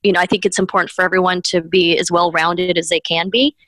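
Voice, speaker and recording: A woman's voice: fairly low pitch, flat delivery, quick pace, fairly bright tone, dry recording. A woman, a mortgage professional whose first language was Spanish, chats as an interview guest in an upbeat way, laughing between points. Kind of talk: conversational interview.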